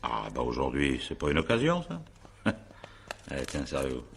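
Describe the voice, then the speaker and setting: An actor playing a shrewd, patient examining magistrate in a French radio crime drama, probing with hesitations and polite irony. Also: male voice, a bass voice, huge pitch swings, moderate pace, creaky, slight background noise, exaggerated voice. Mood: courteous.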